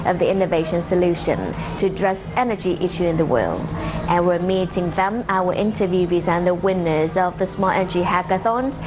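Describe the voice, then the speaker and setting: A woman's voice: fairly low pitch, fairly quick. A woman hosting a business TV program, giving a formal scripted introduction and asking interview questions. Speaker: TV program host. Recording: background noise.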